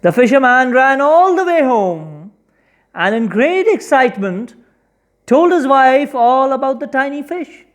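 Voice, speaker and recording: An expressive storyteller narrates a children's folktale at a slow, deliberate pace. The pitch swings widely, giving dramatic emphasis to key words.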